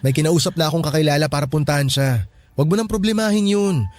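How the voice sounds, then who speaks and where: A Filipino man acting in a radio drama as a calm, reassuring young hospital worker who gently teases. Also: fairly high pitch, fast, lightly acted. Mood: reassuring, serious. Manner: soothing, reassuring.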